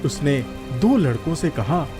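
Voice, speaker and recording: A man's voice: fairly high pitch, very animated, a little slow, music in the background. A man narrating a scripted children's moral story as a storyteller.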